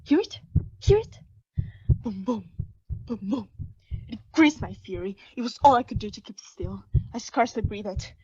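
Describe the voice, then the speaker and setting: A woman playing an obsessed mad murderer in a theatrical radio play, confessing intensely in hushed whispers and frantic outbursts. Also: a little high, animated, normal speed, long pauses, husky, lo-fi sound. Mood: frenzied, rising hysteria.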